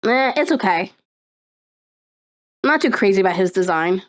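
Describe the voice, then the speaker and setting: A young woman streamer chatting casually on a live stream, rambling and excitable, with lots of fillers and laughter. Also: mid-range voice, moderate pace.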